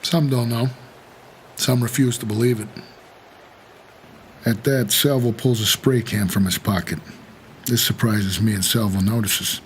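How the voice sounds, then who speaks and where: An American actor playing a weary, guarded supernatural driver who narrates an audio drama in terse, measured noir style. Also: male voice, lowish voice, steady tone, a little hiss or hum, lightly acted, low-quality recording. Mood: calm, reassuring.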